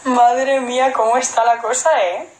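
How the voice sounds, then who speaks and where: A Spanish woman in her twenties chatting casually on a phone livestream, answering viewers in Spanish and halting English with a Spanish accent. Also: on the high side, fairly even intonation, reverberant, poor audio. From Spain. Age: 20s. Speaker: model on a livestream. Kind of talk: casual livestream chat reading comments. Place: indoor room at home. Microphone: phone held at arm's length.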